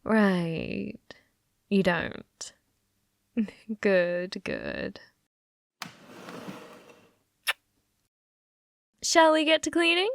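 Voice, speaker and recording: A woman's voice: mid-range voice, sing-song, extremely slow, gravelly, dry recording, clean studio sound, full character voice. A woman playing a possessive young yandere in an ASMR roleplay, teasing and coolly threatening, often dropping into a whisper. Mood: smug, possessive.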